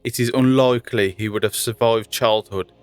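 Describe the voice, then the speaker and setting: Male host and narrator for scripted online videos, medium-pitched voice, music audible behind him. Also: fairly even intonation, moderate pace, no room sound.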